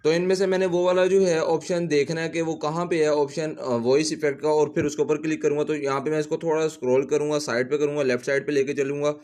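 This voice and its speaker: Higher-pitched man giving a tutorial. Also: little pitch movement, fast, few pauses, a little mellow. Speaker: tutorial host, teacher. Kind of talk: casual step-by-step app tutorial.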